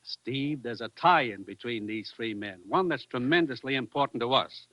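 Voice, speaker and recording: A forceful, grave man's voice on a dull old radio recording. He is a businesslike commissioner giving a brisk, emphatic briefing in vintage radio-play style. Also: medium pitch, expressive, no echo. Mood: grave, urgent. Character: brisk, serious male commissioner briefing his agent. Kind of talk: 1940s radio acting.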